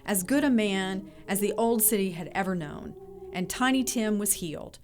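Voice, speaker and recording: A woman's voice: mid-range voice, lively intonation, moderate pace. A woman narrating an audio drama in a scripted storytelling style. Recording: music playing behind the voice.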